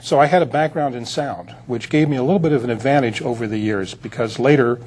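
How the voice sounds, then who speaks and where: A man's voice: mid-range voice, fast, darkish tone, slight background noise. An older man being interviewed as a producer, reminiscing in a rambling, anecdotal way. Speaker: interview guest, film producer.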